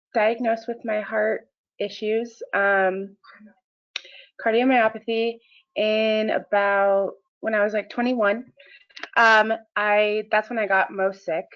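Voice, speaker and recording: A woman's voice: medium pitch, little pitch movement, takes it slowly, lo-fi sound. Hesitant young woman full of ums. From Washington State, US. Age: 20s. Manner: hesitant, lots of "um", self-correcting. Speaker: panelist.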